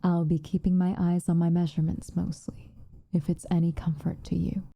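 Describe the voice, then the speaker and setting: A woman playing a stern but protective witch in an ASMR roleplay, speaking softly and formally, often in a breathy whisper. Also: on the low side, level tone, dry recording. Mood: gently reassuring. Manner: measured, formal, gently commanding.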